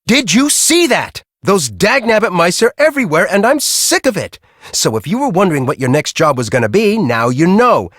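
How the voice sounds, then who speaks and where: Grumpy middle-aged game professor, American accent, sarcastic, exasperated, widely varying pitch, dry close studio sound. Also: male voice, big pitch swings, normal speed, a bit bright, no echo, heavily acted. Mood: startled, exasperated. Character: grumpy middle-aged male storm-chasing professor, bossy and cynical. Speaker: video game character, research team leader. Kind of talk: video game voice acting.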